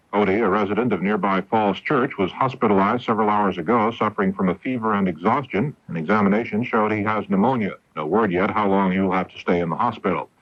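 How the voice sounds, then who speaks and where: A low-voiced American man reads the news with formal, measured delivery. His voice is husky, heard through a dull 1980s AM radio broadcast. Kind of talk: scripted newscast narration.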